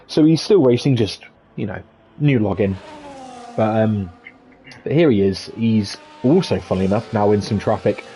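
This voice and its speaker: A man's voice: lowish voice, lively intonation, normal speed, dark tone, poor audio. A man giving live, chatty commentary on an online racing league, upbeat with playful asides. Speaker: esports race commentator.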